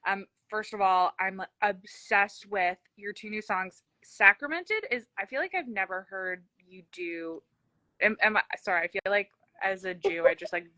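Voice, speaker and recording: A woman interviewer with a very bright, forward voice and a slight lisp. She chats casually and effusively, rambling and poking fun at herself. The sound is dry and close. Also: medium pitch, normal speed, no room sound. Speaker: interview host.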